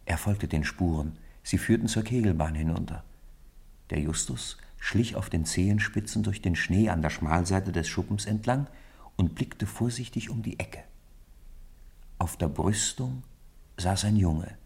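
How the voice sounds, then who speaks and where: A man's voice: deep voice, unhurried, vocal fry, darkish tone, breathy edge. A man giving scripted literary narration as the storyteller of a radio play.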